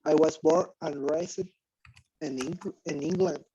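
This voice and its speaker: Mellow, slow male student reading haltingly. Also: fairly high pitch, steady tone, a little hiss or hum. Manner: halting, repeats words. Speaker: English student. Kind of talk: reading aloud in class. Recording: dull, dry online-call audio.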